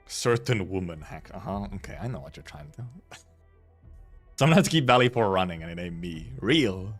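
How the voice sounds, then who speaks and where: A man's voice: low-pitched, animated, a little fast, soft background music. A man chatting casually on a game livestream, rambling and repeating himself as he talks. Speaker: game streamer.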